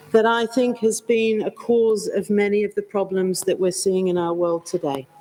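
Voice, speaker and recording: A woman's voice: a little high, slight background noise, low-quality recording. A woman reverend giving a gracious interfaith address as a guest speaker, with an accent that is not South African. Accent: non-South African. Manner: warm, measured, grateful, storytelling. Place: convention hall.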